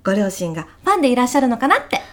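A woman's voice: a little high, racing. A woman playing a chirpy, polite but gossipy kindergarten teacher in a comedic radio drama. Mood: gleefully gossipy. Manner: polite, effusive, animated. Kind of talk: comedic short radio drama, phone meeting.

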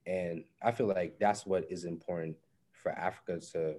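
A young man with a Liberian accent, a guest in a casual discussion, speaking in a relaxed way that often trails off quietly. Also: low voice, moderate pace. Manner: relaxed, trails off into softer speech.

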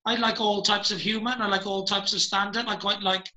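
Bright high-voiced Welsh man. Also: flat delivery, a little fast. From Wales. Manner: rambling, hesitant, many fillers and restarts. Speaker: podcast host. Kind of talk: casual video-call chat.

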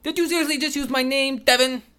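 A shrill, furious kidnapper woman, put on by a man reading a story snaps and barks orders in a voice pushed far above his own. She stays sharp-tongued and bossy, her pitch jumping around wildly. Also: animated, brisk. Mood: yelling angrily. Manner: shouting, snapping.

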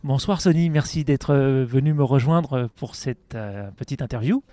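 A man hosting an interview and asking questions gently, with some hesitations. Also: mid-range voice, normal speed, soft background music. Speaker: podcast host.

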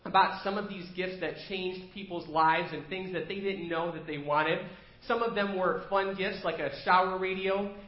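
A man preaching an evening sermon as pastor, slightly nasal from a cold, talking in a conversational, storytelling way in a church. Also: high voice. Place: church sanctuary. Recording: echoey church room, dull lo-fi sound.

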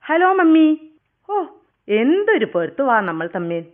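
An actress playing a daughter on a video call in a radio play, sweet and overly solicitous, heard through a phone effect. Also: female voice, high-pitched, big pitch swings, a little slow. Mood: sugary. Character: daughter on a video call, sugary and falsely caring. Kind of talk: radio drama acting. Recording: tinny phone line.

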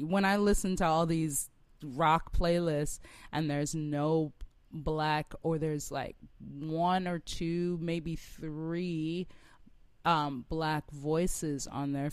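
A woman rock singer speaks slowly as an interview guest. She sounds reflective and feels her way through each thought. Her voice is smooth and clean, recorded close and dry. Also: low voice, a little mellow, no echo. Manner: reflective, searching for words as she goes. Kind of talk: conversational interview.

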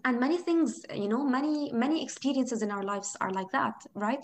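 A woman with a Lebanese accent, whose first language is Arabic, answers interview questions in a thoughtful, searching way. Her voice sits somewhat high, and she often circles back to rephrase a point before settling on it. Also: animated, moderate pace, slight background noise. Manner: reflective, thinks aloud, frequent "you know" and restarts. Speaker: interview guest. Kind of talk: conversational interview.

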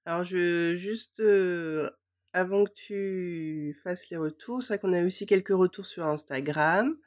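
A woman co-hosting a casual podcast, friendly and quick to laugh, her voice stuffy from a cold. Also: lowish voice, a little mellow. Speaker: podcast co-host.

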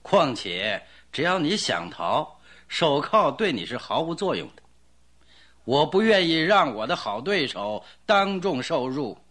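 An actor playing a stern, shrewd police detective in a radio drama, speaking firmly and theatrically. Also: male voice, a little high, sing-song, measured pace, fairly bright tone, full character voice. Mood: calm, magnanimous. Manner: firm, theatrical. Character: stern, shrewd male police detective, proud of his rival. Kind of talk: Mandarin radio drama acting.

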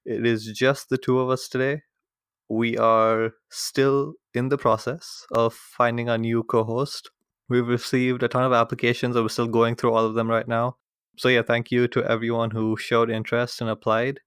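A man's voice: mid-range voice, little pitch movement, moderate pace, fairly bright tone, smooth, no echo, studio quality. A man hosting a podcast, opening the show with an upbeat scripted intro and then chatting with his guest. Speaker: podcast host.

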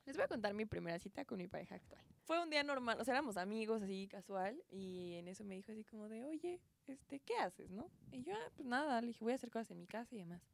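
A woman's voice: on the high side, lively intonation, fast talker, gravelly, few pauses. A young adult woman with a Mexican accent, telling personal anecdotes in casual chat among friends as a co-host.

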